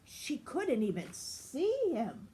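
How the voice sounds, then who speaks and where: An older woman reads a children's story expressively. Her high voice moves up and down a lot at a slow pace, with faint noise behind her. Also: huge pitch swings, dark tone. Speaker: grandmother reading a children's story.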